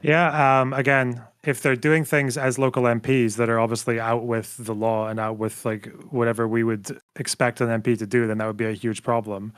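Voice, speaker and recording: A man's voice: mid-range voice, level tone, normal speed, hardly any pauses, smooth, no room sound, studio quality. A man in a casual online debate, pressing the other speaker for concrete answers. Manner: probing, pushes for specifics. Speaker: debate guest.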